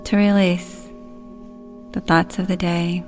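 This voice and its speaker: A woman leading a guided relaxation, speaking soothingly and gently in short, unhurried phrases. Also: lowish voice, flat delivery, faint music behind.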